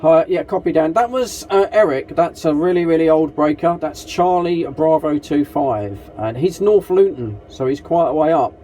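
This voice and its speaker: A man's voice: on the high side, normal speed, hardly any pauses, warm tone. A man chatting casually over CB radio as a group net operator, matey and polite, using call signs and radio jargon. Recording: noisy CB radio transmission with hiss.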